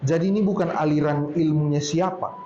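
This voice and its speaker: High-voiced man with a Poso accent. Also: fairly even intonation, dark tone, a little hiss or hum. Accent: Poso regional Indonesian. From Poso, Central Sulawesi, Indonesia. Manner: chatty, drawn-out storytelling tone. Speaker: speaker at a talk. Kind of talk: casual talk to a group. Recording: roomy, dull lo-fi sound with faint ambience.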